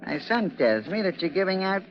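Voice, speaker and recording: An actor in a 1940s radio drama playing a cruel, paranoid ship's captain, menacing, mocking and explosive. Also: male voice, sits high, big pitch swings, moderate pace. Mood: sneering, menacing. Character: tyrannical, paranoid ship's captain, cruel middle-aged father. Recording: dull, lo-fi 1940s radio recording.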